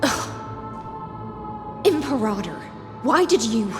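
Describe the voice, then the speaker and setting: An actress playing an aggrieved, forthright warrior commander in a video game, earnest and challenging. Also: female voice, high voice, sing-song, very slow, heavily acted. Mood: anguished reproach. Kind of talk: dramatic game voice acting. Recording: echoey hall, music behind.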